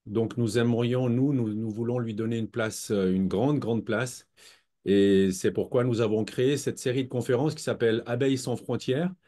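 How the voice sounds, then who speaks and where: A man speaking as a conference host, giving introductory remarks in a measured way. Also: mid-range voice, steady tone, warm tone.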